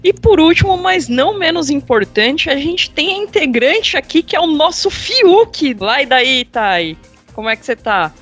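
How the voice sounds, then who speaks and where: A woman hosting a casual podcast chat, relaxed and jokey, swearing freely. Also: high-pitched, normal speed. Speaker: podcast host. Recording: dull lo-fi sound with music behind.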